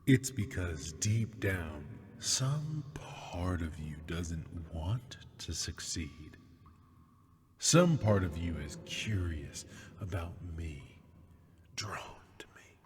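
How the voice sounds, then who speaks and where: A man playing a seductive, taunting demon prisoner in an ASMR roleplay, husky and breathy, teasing in mock-sweet tones and often sinking toward a whisper. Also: sits low, lively intonation, heavily acted. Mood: smug, tempting. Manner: slow, teasing, mock-sweet, lingering on words. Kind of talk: ASMR roleplay, scripted monologue. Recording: long hall-like reverb.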